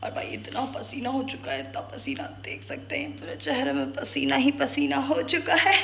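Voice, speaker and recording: A woman's voice: sits high, sing-song, moderate pace, gravelly, fairly bright tone, roomy sound, whispered. A young woman chatting casually in a home vlog, mixing English words into her Hindi while she does kitchen chores. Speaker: vlogger.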